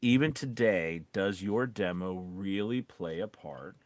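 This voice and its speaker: Low-voiced man, casual rambling co-host. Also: a little slow. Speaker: podcast co-host; voice actor. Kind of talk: casual co-hosted chat.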